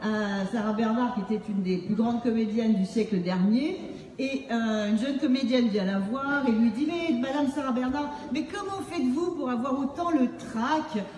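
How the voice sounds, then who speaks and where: A middle-aged woman with a slightly high voice gives a playful public presentation. She talks through a stage microphone, and the hall rings around her. There is faint background noise. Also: normal speed, a little mellow, far from the mic. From Montreal, Canada. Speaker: author and acting-based speaking trainer. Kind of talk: humorous public book presentation. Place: on stage in a large book fair hall. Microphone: handheld microphone through PA, recorded by distant camera.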